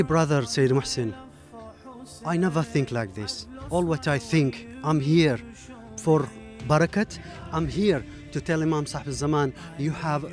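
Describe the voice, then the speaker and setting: A man, answering as a contestant in a humble, earnest way with many pauses. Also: fairly high pitch, a little mellow. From Iraq. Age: 40s. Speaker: talent show contestant. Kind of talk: soft-spoken interview answers.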